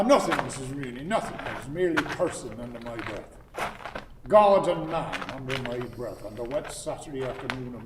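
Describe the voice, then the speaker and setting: A man acting a fussy, grumbling old gentleman in a radio play, formally polite and theatrical. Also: medium pitch, natural voice. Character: fussy, grumbling old gentleman cyclist, courteous but doleful. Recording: distant, echoey hall with noise.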